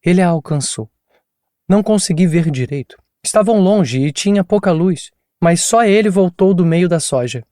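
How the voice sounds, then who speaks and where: A man's voice: high voice, animated, normal speed, mellow, dry recording, studio quality, pitched above the performer's own voice. A man voicing an exhausted, traumatized woman as she recounts her abduction in a tense, pleading, weary way. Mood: shaken.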